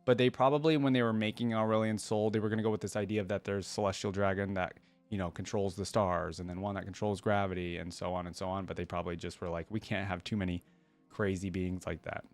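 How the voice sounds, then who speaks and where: A man with a fairly low voice hosts a casual reaction video. He reads passages aloud and comments on them informally, thinking out loud as he goes. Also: level tone, quick pace. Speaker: reaction channel host.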